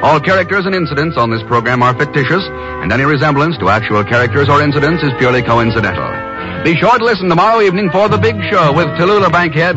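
An American man announces in classic 1950s radio style, his voice pitched a little high. He reads scripted copy with formal, polished emphasis. Music plays prominently behind him on a dull, aged broadcast recording.

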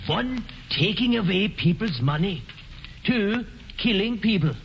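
An actor playing a slow-witted older colonial councilman who reads out the law in broken grammar. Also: high-pitched, lively intonation, creaky, a little hiss or hum, full character voice. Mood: plodding. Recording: dull old radio broadcast with reverb.

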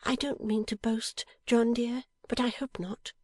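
A woman's voice: fairly high pitch, steady tone, a little slow, a little mellow, dry recording, studio quality, voice pushed up. A woman voicing a pouting, affectionate young wife in a storybook, coaxing and emphatic. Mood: earnest devotion. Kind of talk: dramatised audiobook character.

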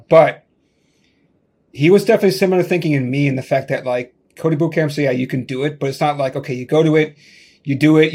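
A man's voice: medium pitch, darkish tone. Chatty man hosting a tech interview. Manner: loose and chatty, full of "like" and restarts. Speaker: podcast host.

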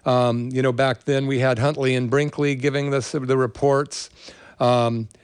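An older American man talks as a show host in a conversational, meandering way, drifting into personal stories. His voice is smooth and clear at a middling pitch, recorded dry with very little room sound. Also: level tone, a little slow, no room sound. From California, USA. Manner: rambling, conversational. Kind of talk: casual monologue with personal storytelling.

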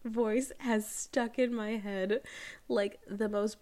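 An American woman whose accent has softened after years in the UK, chatting casually and often laughing as she tells stories. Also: mid-range voice, steady tone, takes it slowly. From United States. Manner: chatty, laughs often, acts out quoted voices. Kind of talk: casual chat.

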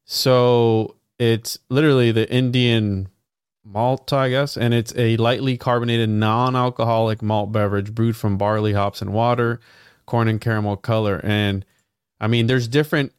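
A man's voice: medium pitch, steady tone, normal speed, clear, resonant tone, no echo, clean studio sound. A man from Puerto Rico co-hosting a livestream, chatting enthusiastically. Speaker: co-host. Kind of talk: casual livestream chat.

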